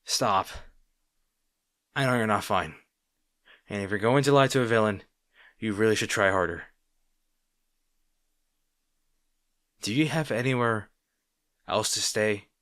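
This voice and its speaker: Man playing a low-voiced, grudgingly caring supervillain. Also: no room sound, studio quality, close to an everyday voice. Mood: firm, concerned. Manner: halting, sighing, exasperated yet soft. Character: grudgingly protective male supervillain rival, sarcastic but caring. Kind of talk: intimate audio roleplay acting addressed to the listener.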